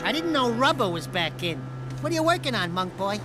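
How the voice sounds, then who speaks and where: A man's voice: very high voice, very animated, a little fast. A man voicing a wisecracking, cowardly little orange animal sidekick in a video game, brash, sarcastic and mouthy. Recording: music behind the voice.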